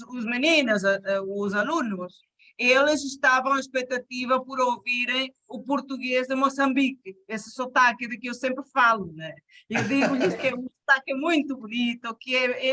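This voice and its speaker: A woman's voice: sits high, pushed and bright. A woman hosting an online session as a teacher, effusive in her praise and talking over a video call. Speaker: host and Portuguese teacher.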